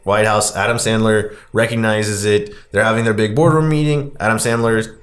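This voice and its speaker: A man's voice: lowish voice, steady tone, moderate pace. A man co-hosting a podcast, chatting casually and recapping in a conversational way peppered with "like". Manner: conversational, heavy use of "like". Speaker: podcast host. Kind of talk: casual movie discussion, recapping a plot.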